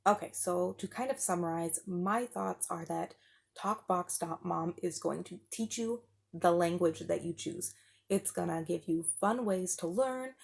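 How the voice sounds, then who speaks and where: Woman, lower-pitched, gravelly fry, casual parent reviewer, informal enthusiastic explaining. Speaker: homeschool product reviewer, parent.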